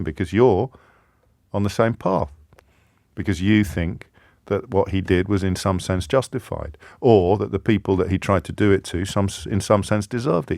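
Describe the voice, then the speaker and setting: A man hosting a radio phone-in with an English accent, giving a forceful, rhetorical monologue that keeps repeating its questions. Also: low voice, dry recording, studio quality. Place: radio studio. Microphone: studio microphone.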